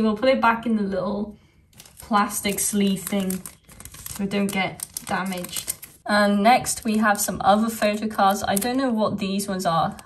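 A woman vlogger talking casually through an unboxing, her voice a bit croaky from a sore throat, soft-spoken and chatty. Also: medium pitch, recorded from a distance. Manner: soft-spoken, chatty, frequent small laughs.

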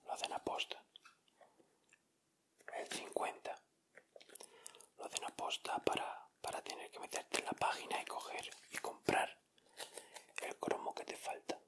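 A man with an accent from Spain, speaking in a breathy, unvoiced whisper as he gives casual ASMR commentary. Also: a little slow, long pauses. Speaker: ASMR video host. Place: indoors at a table.

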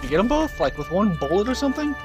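A man's voice: high-pitched, sing-song, quick pace. A man chatting casually and jokingly while playing a video game, swearing at times. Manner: joking, profane. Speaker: gamer on a co-op let's play. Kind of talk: casual gaming chat. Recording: loud game music behind voice. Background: game music.

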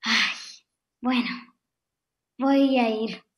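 A woman's voice: fairly high pitch, extremely slow, voice pushed down. A woman with a Chilean accent voicing a pampered, lazy female house cat in a radio play, whiny and reluctant, over a video call. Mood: annoyed, reluctant. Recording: dull video-call audio.

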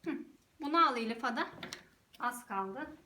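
A woman's voice: a little high, lively intonation, normal speed, pushed and bright. A woman talking as a mother guiding her young child through play, giving short encouraging prompts and addressing viewers casually. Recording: roomy, distant mic, clear background noise.

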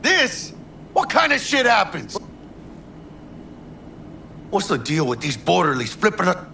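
A man's voice: high-pitched, huge pitch swings, unhurried, vocal fry, sharp tone, slight background noise, exaggerated voice. A man playing a brash, friendly streetwise smuggler in a cyberpunk video game, with a Mexican American accent and slangy, emphatic talk peppered with Spanish swearing. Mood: angry, exasperated.

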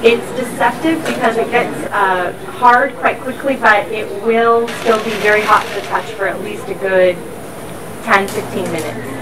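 A woman's voice: medium pitch, moderate pace. A woman explaining things step by step as a factory tour guide. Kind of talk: explanatory walk-through tour. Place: busy factory floor. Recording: factory noise, somewhat distant mic. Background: machinery and workshop noise.

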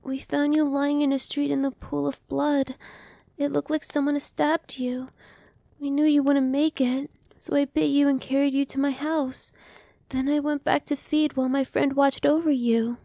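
A woman playing a gentle, reassuring young vampire. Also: high-pitched, little pitch movement, gravelly, dry recording. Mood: soothing. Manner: soft, slow and reassuring, dropping to whispers. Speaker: voice actress. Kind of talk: ASMR roleplay.

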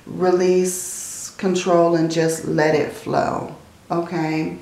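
A woman reading tarot cards in a slow, soothing way, her voice tired and strained from many readings. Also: lowish voice, flat delivery, creaky, a little mellow, roomy sound. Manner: slow, soothing, often adds "okay?" and "I feel like". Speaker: tarot reader. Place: indoors at a table, hands seen handling cards.

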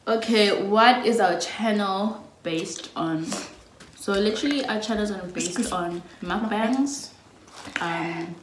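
A woman's voice: mid-range voice, slow pace, echoey room, slight background noise, low-quality recording. A young South African woman in her twenties, casually chatting and giggling through a get-to-know-us video. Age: early 20s. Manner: giggly, chatty, laughs often. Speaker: YouTube vlogger. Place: small indoor room.

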